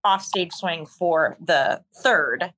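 A woman with a slightly low, bright and forceful voice tells stories as a guest in an interview. She is candid and expressive, with a bit of wry exaggeration in a loose conversational style. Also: slow pace, slight background noise. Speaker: interview guest, musical theater performer.

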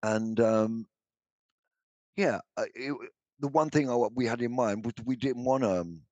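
An older man with a deep baritone voice, answering interview questions about his songs, hesitant with many restarts. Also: unhurried, a little mellow, dry recording, lo-fi sound. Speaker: musician guest.

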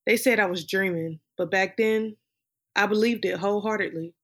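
A woman performing a film monologue as a wistful dreamer recalling lost hopes of stardom, in a reflective, drawn-out delivery. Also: medium pitch, a bit bright. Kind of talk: dramatic film monologue reading.

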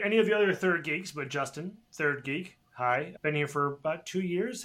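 A young man speaking casually as a podcast guest, measured and wordy, pausing to choose his words. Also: on the high side, fairly bright tone. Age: young adult. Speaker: podcast guest and co-host of a geek podcast. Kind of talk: casual chat.

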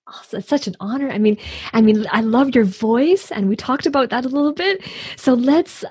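A woman's voice: on the high side, moderate pace, darkish tone, husky. A woman hosting a podcast, introducing and welcoming her guest in an enthusiastic, effusive way. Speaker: podcast host. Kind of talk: conversational interview.

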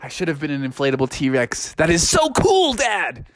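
A man's voice: high-pitched, sing-song, measured pace, no room sound, studio quality, pitched above the performer's own voice, full character voice. A man voicing an anxious, indecisive young frog in a children's story, whiny and easily spooked. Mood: frustrated envy.

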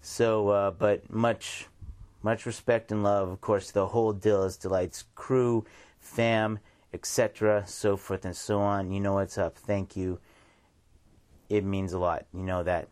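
Low-voiced American man, casual radio host. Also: little pitch movement, unhurried, darkish tone. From United States. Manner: rambling, informal, repeats himself. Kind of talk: casual radio monologue with shout-outs.

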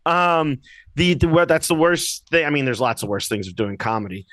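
A man's voice: on the high side, animated, moderate pace, fairly bright tone, dry recording. Rambling man on a podcast. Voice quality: rambling, with frequent restarts and asides. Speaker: podcast co-host; comedian. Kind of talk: casual chat. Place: lives in Boston.

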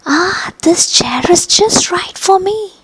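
Lady putting on a high sing-song little girl voice, curious and hungry, storybook style. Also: very animated, slow, a bit bright, slightly rough, exaggerated voice. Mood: pleased.

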